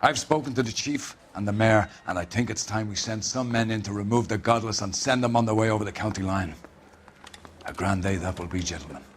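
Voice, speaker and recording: An actor playing a pompous, zealous middle-aged police captain in a 1940s crime game, preachy and oratorical. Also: male voice, on the low side, a little hiss or hum, close to an everyday voice. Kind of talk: theatrical game acting, grand speech.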